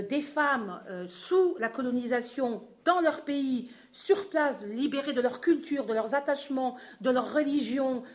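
A woman speaking off the cuff in a public discussion, emphatic and building her argument haltingly as she goes. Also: high voice. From Algerian descent, living in France. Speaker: panel speaker at a feminist festival. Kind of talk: impassioned spontaneous public discussion.

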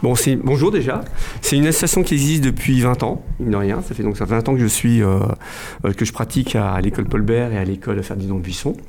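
A man's voice: mid-range voice, quick pace, a little mellow, faint music behind. A middle-aged man from France, a kung fu club president, speaking hesitantly in a casual radio interview, with many fillers and restarts.

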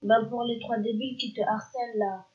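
A woman's voice: fairly high pitch, fairly even intonation, a little fast, close to an everyday voice. A girl acting a bold, protective teenage schoolgirl in an audio drama, blunt and bossy. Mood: firm, friendly. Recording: dull lo-fi school recording.

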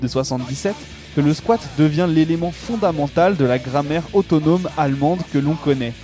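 Mellow, higher-pitched man narrating a documentary. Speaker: radio narrator. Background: music with guitar.

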